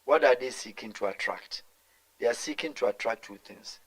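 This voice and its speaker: A man's voice: mid-range voice, moderate pace, poor audio. A middle-aged Ghanaian man answering in an interview, talking emphatically and mixing in Twi, with stumbles and repeated words. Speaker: guest.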